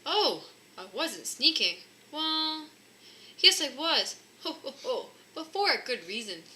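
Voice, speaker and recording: Woman playing a jolly, bright-voiced old Santa Claus. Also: sits high, sing-song, slow, a little hiss or hum, voice pushed up, exaggerated voice. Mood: jolly, defensive. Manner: hearty ho-ho-ho, courteous pleading.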